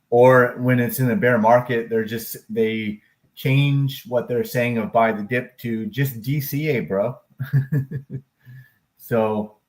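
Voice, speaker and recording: A man hosting a livestream about crypto and stock charts, talking casually in a rambling, chatty, self-deprecating way and answering his chat. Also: mid-range voice.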